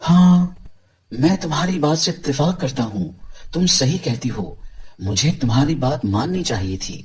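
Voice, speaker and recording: A man voicing a greedy milkman husband in an animated cartoon, grumbling and complaining. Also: on the high side, moderate pace, lightly acted.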